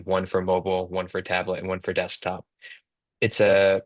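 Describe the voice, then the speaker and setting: A man in his thirties talks through steps in a casual, instructional way. His voice sits low and moves little in pitch. The sound is dry, as from a close mic on a video call. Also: fairly bright tone, dry recording. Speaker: co-host, app company team member. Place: indoors on a video call, virtual background.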